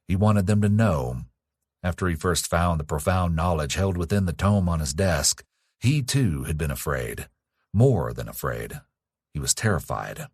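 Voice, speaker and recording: A man with a husky voice reading a dark fantasy horror audiobook in a solemn, dramatic, measured storytelling manner. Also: deep voice, no room sound, studio quality. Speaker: author narrating own audiobook.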